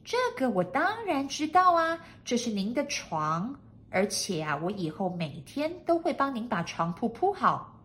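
A woman's voice: sits high, sing-song, measured pace, slight background noise, pitched above the performer's own voice. A woman voicing an eager, polite, plucky housekeeper in a storybook, with a chirpy manner. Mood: eager, confident. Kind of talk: storybook character acting.

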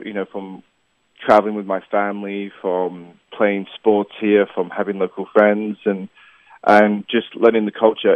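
A man's voice: fairly low pitch, no room sound. A man in his thirties, a tour company founder, answering interview questions earnestly, rambling with many fillers and restarts. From Asia (Hong Kong, Taiwan, Malaysia). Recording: dry tinny phone-like line.

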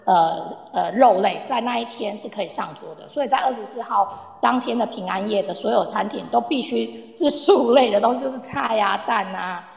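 A woman explains things informally to listeners, in a teacherly way. Her voice is fairly high with a creaky, fry-laden edge. The sound is echoey, with long reverb. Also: moderate pace, few pauses. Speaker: guest speaker explaining Polish culture. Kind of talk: informal explanatory talk to a live online audience. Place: live online audio room, reverberant sound.

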